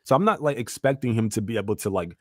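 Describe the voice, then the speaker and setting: A man's voice: lowish voice, animated, fast talker, no room sound, clean studio sound. A man in a casual anime debate on a podcast, arguing his case emphatically with slang. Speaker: podcast panelist.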